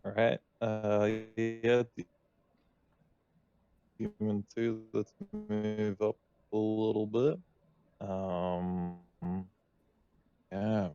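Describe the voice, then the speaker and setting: Slow, gravelly man, slightly dull mic. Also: lowish voice, little pitch movement, warm tone, soft background music. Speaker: player in a tabletop game. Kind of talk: casual in-game chat.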